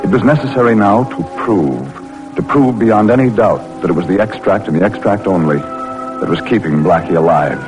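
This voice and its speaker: A solemn male actor as a radio drama narrator speaks in a lowish voice with measured, dramatic delivery. Loud music plays behind him on an old radio recording. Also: lively intonation, lightly acted, rough recording. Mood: solemn, suspenseful.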